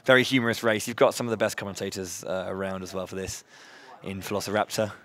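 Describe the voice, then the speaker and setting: A man hosting a live charity gaming marathon, loud-voiced and upbeat, chatting between runs with many fillers and restarts. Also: low-pitched, steady tone, fast talker.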